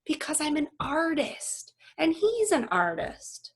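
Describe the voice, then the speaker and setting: A woman's voice: high voice, sing-song, slow pace, pitched above the performer's own voice, heavily acted. A woman reading a children's novel aloud as an earnest, eager young girl with big ideas. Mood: excited.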